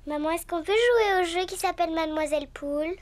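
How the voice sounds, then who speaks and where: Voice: very high voice, a little fast. Une interprète de dessin animé qui joue une petite cochonne enjouée, un peu autoritaire et enfantine. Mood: eager. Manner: playful, childlike. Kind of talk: French cartoon dubbing.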